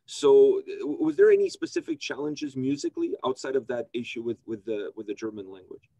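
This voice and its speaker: A man's voice: mid-range voice, expressive, fairly quick, vocal fry, mellow, dry recording. A man interviewing a musician, asking long, enthusiastic, rambling questions. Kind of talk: casual interview.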